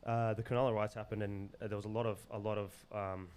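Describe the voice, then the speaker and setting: A man from Zimbabwe with an Australian accent, talking earnestly and haltingly in casual podcast conversation, often repeating words as he searches for phrasing. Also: fairly low pitch, level tone, a little mellow, clean tone. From Zimbabwe, moved to Australia as a child. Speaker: podcast guest.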